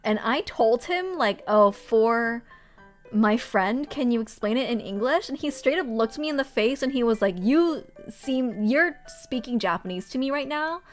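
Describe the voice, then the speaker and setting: A woman's voice: fairly high pitch, lively intonation, measured pace, faint music behind. A woman casually chatting to her livestream viewers while sorting notebooks, rambling and laughing often. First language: Korean. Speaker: streamer.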